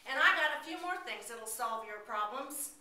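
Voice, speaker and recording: Woman playing a gentle old storekeeper, soothing folksy talk, high bright voice, echoey room heard from far off. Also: lively intonation, normal speed. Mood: kindly. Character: kindly old man, gas station owner.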